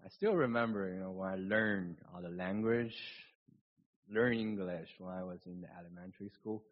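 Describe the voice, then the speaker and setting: A man with a Chinese accent, whose first language is Chinese, reading scripture and preaching a sermon in a halting way, with restarts and slips. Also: low-pitched. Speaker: pastor. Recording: dull lo-fi recording.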